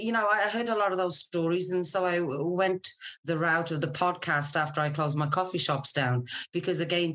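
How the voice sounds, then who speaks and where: A middle-aged woman with a low-pitched voice. She talks as an interview guest, casual and candid. Her delivery is chatty and conversational, suited to personal storytelling. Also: a bit bright. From Scotland. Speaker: podcast guest, military spouse and business owner.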